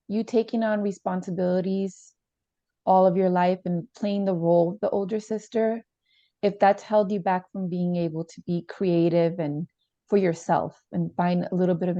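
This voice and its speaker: A woman playing a calm, probing therapist in a role-play, measured and tentative as she reflects back. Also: on the low side, no echo, dull audio, close to an everyday voice. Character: calm, probing female therapist leading a session.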